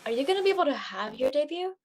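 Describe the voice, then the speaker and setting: A woman acts out an upbeat teenage girl in an audio drama. Her voice is fairly high, with very lively, conversational intonation. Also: racing, a little hiss or hum. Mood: bright, friendly. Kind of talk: conversational acting in an online audio play.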